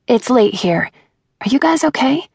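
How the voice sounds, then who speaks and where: A woman's voice: sits high, big pitch swings, a little slow, creaky, a little mellow, husky, no echo, studio quality, voice pushed up, exaggerated voice. A woman voicing an earnest, anxious young woman in an audiobook, pleading and persistent in an urgent manner.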